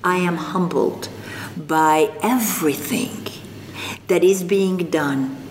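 An older woman from North Africa, speaking as an interview guest, slow and thoughtful with frequent pauses. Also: low voice. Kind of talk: reflective interview conversation. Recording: long reverb, faint background noise.

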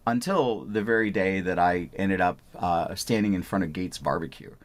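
Man, very low pitch, from India, physician, explanatory interview answers. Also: moderate pace. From India, Punjab. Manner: explanatory, repeats "I want" phrases.

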